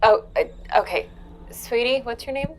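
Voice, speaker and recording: A woman's voice: on the high side, moderate pace, soft background music, poor audio. A woman playing a tabletop role-playing game, chatting casually and speaking for her character.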